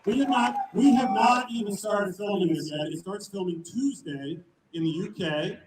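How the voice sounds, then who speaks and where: A man's voice: sits high, moderate pace. A man presenting on stage at a live event, making introductions to an audience. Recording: roomy, noisy live hall sound.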